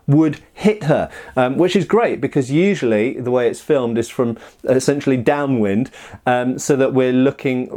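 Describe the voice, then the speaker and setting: Guy doing chatty review commentary, explains stuff in detail, opinionated, mid pitched voice. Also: a little mellow. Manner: explanatory, opinionated, emphatic asides. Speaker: host, martial arts commentator.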